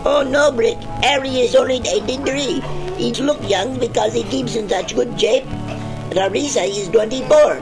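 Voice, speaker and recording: Excitable high-voiced foreign doctor, music behind. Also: male voice, normal speed, low-quality recording. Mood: breezily reassuring. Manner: effusive, muddles English idioms. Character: excitable foreign-born male doctor and bar owner, naively cheerful. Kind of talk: old-time radio detective comedy acting.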